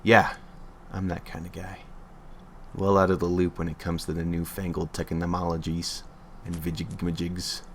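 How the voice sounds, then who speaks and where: A man's voice: deep voice, completely flat delivery, moderate pace, a little hiss or hum. A man reading a creepy internet story aloud on a livestream in an offhand way, stumbling and correcting himself as he goes.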